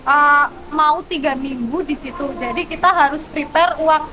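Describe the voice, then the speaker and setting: Very high young woman's voice, noisy background. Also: measured pace, muffled recording. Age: young adult. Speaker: student interview guest. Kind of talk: casual explanatory interview talk.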